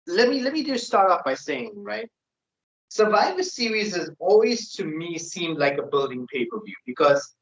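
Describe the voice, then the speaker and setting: A man's voice: high voice, expressive, normal speed, gravelly, fairly bright tone. Ranting man on a lo-fi podcast. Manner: opinionated, ranting. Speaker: podcast co-host. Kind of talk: casual wrestling predictions chat. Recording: dull lo-fi sound.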